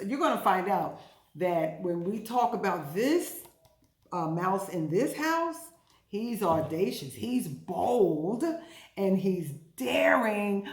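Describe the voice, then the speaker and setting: A woman leads a children's storytime lesson with very lively, swooping intonation. She takes her time and stresses her words like a teacher. The room around her voice is clearly echoey. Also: mid-range voice. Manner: emphatic, deliberate teacherly delivery with repetition and questions to listeners. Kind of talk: interactive children's read-aloud and vocabulary lesson. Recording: roomy, echoing indoor recording.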